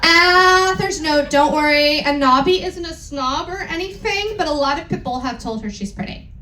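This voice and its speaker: High-voiced woman doing a comedic dramatic reading. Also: bright, forceful tone. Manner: exaggerated emphasis, deliberate mispronunciations, joking asides. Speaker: performer reading aloud on stage. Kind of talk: comedic dramatic reading of bad fan fiction. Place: indoor event stage on a cruise. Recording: roomy live venue, faint background noise.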